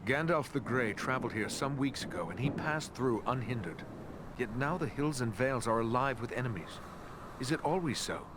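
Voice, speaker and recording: An actor playing a dutiful, serious ranger adventurer in a fantasy game, speaking in an earnest, formal way. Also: male voice, mid-range voice, animated, normal speed, noisy background, close to an everyday voice. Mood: concerned. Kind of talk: fantasy game cutscene acting.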